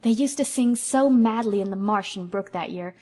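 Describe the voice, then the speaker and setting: A woman's voice: medium pitch, normal speed, close to an everyday voice. Reader as a romantic young woman, lyrical and effusive. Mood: dreamy. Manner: lyrical, warm and gushing.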